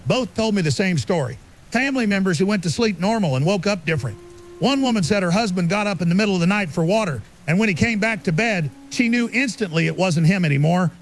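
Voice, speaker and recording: A man's voice: high-pitched, lively intonation, quick pace, a little hiss or hum, rough recording. A man acting an earnest, insistent conspiracy researcher being interviewed as a guest in an audio drama. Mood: conspiratorial.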